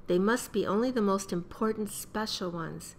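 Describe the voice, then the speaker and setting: Gentle, patient mother played by an actress, faint noise. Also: mid-range voice, normal speed, natural voice. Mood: gently cautioning. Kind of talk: radio play acting.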